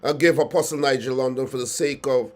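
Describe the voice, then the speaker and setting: A man's voice: medium pitch, fairly even intonation, a little fast. A man hosting a studio talk show, formal in tone, often repeating phrases and restarting with fillers.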